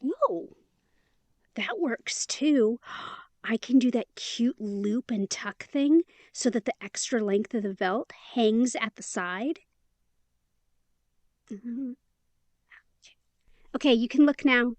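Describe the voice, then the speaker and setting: Actress for chatty young woman in romance audio drama; high register, close dry sound, sweet but stubborn. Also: animated, slow pace, pauses often, a little mellow, no room sound. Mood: bright, eager.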